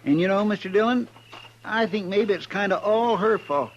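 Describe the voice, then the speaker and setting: An actor playing a deferential, plain-spoken Old West deputy in a 1950s radio drama, folksy and respectful. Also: male voice, high-pitched, moderate pace, natural voice. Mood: earnest. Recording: muffled, noisy 1950s radio recording.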